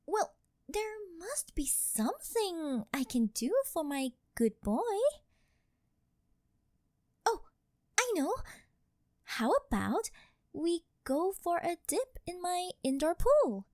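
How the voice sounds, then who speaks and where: Rich yandere girlfriend, played by a woman: high, swooping, unhurried delivery, sugary and possessive, for ASMR roleplay. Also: darkish tone, no echo, clean studio sound, exaggerated voice. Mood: gently disappointed. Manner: coaxing, sugary, drawn-out pet-name delivery.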